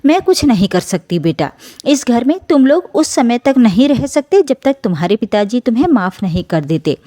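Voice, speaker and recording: A woman voicing a soft-hearted elderly mother and grandmother in a story, emotional and imploring. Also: on the high side, sing-song, normal speed, no room sound. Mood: helpless.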